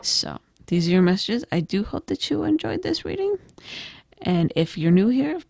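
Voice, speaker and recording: A woman losing her voice gives a gentle, reflective tarot reading, with frequent "I feel like" and "kind of". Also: mid-range voice, sing-song, normal speed, gravelly, no room sound. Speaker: tarot reader. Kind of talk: pick-a-card tarot reading.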